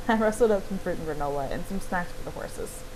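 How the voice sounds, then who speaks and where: Blunt, bossy young rider in a video game, read by a woman, animated and exclamatory, clearly noisy audio. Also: lowish voice, moderate pace, close to an everyday voice. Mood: teasing, lively. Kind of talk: reading game dialogue aloud. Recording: clearly noisy home recording.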